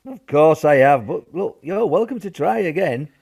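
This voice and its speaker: A man's voice: a little high, animated, moderate pace, creaky, darkish tone. A man playing a sheepish but reassuring wartime grandfather in an amateur radio play, hesitant and repeating himself. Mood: exasperated. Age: older. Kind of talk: amateur radio drama acting.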